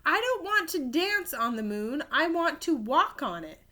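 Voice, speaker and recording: Lady doing a stubborn lady cow from a kids book, high and bright, kinda wailing. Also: sing-song, a little slow, full character voice. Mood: wailing, indignant. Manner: emphatic, plaintive, stubborn. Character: headstrong female cow, wailing and grumbling about the moon.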